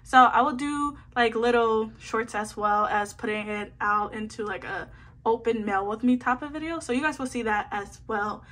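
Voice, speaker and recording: Bubbly woman w kinda high voice rambling while she files photocards, lots of slangy asides. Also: moderate pace, fairly bright tone. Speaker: photocard collecting vlogger. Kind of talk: casual chat while sorting a binder. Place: indoors at a table, hands seen filming a binder.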